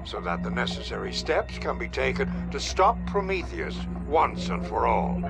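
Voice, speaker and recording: An actor playing an authoritative male commander giving formal, measured mission briefings in a video game. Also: medium pitch, sing-song, gravelly. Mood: measured, serious. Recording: loud music under the voice.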